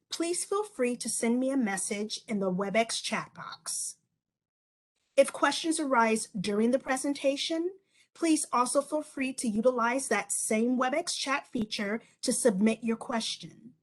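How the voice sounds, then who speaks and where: A woman opens a virtual session with formal, polished remarks, clearly reading from a script. Her voice sits fairly high, and she delivers it at an even, businesslike pace over a video call. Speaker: assistant director, session host.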